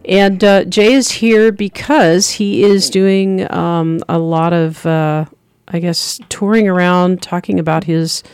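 A man hosting a morning radio interview in a studio, friendly and halting with uhs. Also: high-pitched, steady tone, clear, resonant tone, no room sound. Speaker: radio host.

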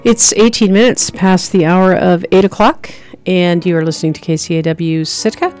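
A man hosting a morning radio interview in a studio, friendly and halting with uhs. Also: high voice, a little mellow, clear, resonant tone, faint music behind. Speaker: radio host.

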